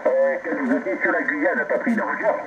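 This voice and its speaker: A man's voice: sits high, huge pitch swings, fairly quick. A man from France chatting casually over amateur radio, rambling with many fillers and restarts. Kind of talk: casual radio contact chat. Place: home radio station. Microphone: received over a radio transceiver. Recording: lo-fi radio reception with loud noise.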